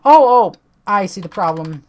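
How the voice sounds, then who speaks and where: A woman with a tired voice, chatting casually and swearing now and then as she reads on-screen text and walks through software at her computer. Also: medium pitch, expressive, moderate pace, a little hiss or hum. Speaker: tech video creator.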